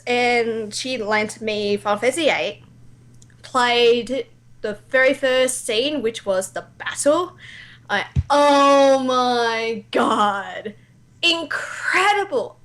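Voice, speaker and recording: Young Australian female guest, slightly high voice, casual, theatrical storytelling. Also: fairly bright tone. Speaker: amateur voice actor, interview guest. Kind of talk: casual anecdotal chat.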